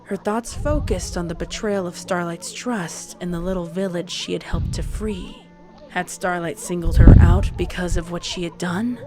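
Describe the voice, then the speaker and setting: A woman's voice: fairly low pitch, normal speed. A woman reading scripted story narration in a dramatic style. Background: music.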